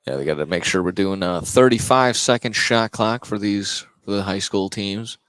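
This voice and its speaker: A man doing live play-by-play basketball commentary, a little halting, often repeating and correcting himself. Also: lowish voice, fairly bright tone, no echo. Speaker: play-by-play announcer. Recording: clear gym ambience, bouncing basketballs. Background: basketball game in a gym.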